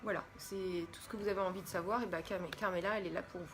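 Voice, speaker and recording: A woman's voice: medium pitch, steady tone, racing, over music. A woman hosting a casual live-stream talk, chatty and greeting her viewers. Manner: chatty, warm greetings to viewers, many fillers. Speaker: host. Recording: faint background noise.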